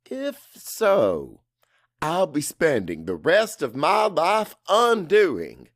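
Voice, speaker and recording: A man's voice: on the high side, huge pitch swings, drawn-out words, no echo, clean studio sound. A man narrating first-person dramatic fiction in a brooding, confessional manner. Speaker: audiobook narrator.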